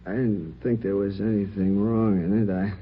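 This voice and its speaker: A man playing a shaken, sheepish crime victim in a radio drama, speaking haltingly and a little defensively. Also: on the low side, fairly even intonation, close to an everyday voice. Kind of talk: 1950s radio drama acting. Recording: muffled, noisy old radio recording.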